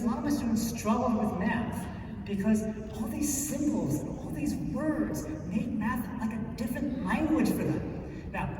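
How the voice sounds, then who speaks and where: A man's voice: high-pitched, background noise. Man talking in an echoey far-off hall. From New York City. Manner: relaxed, conversational, many "um"s. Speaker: math teacher giving a conference talk. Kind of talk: short prepared talk on stage. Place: large conference hall.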